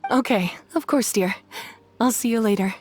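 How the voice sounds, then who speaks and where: Woman playing sweet friendly flower shop lady in a video game, high voice, bit of background noise. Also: moderate pace, lightly acted. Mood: welcoming.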